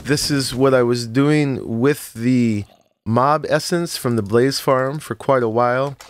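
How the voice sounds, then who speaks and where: A man with a slightly froggy morning voice, narrating a relaxed, rambling tour of his game world with many "uh"s and short laughs. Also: medium pitch, unhurried. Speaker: Minecraft let's-play host. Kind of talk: casual gameplay tour narration.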